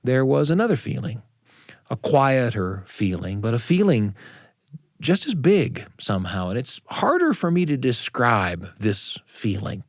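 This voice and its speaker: A man hosting a radio show, telling stories and chatting with deliberate phrasing and dramatic pauses. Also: medium pitch, big pitch swings, dry recording, clean studio sound. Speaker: radio show host and storyteller. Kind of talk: reflective personal storytelling and hosted studio conversation. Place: radio studio control room.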